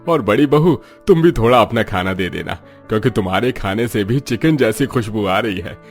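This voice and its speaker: A man's voice: on the low side, expressive, normal speed, soft background music. A man voicing an older, food-loving father-in-law who bosses his family in a cartoon story. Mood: coaxing. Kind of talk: Hindi cartoon story dialogue.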